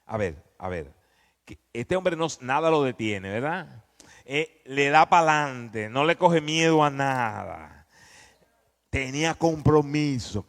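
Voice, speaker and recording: A man preaching in a conversational, emphatic teaching style, often prompting his listeners with questions. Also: medium pitch. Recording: echoey hall with long reverb.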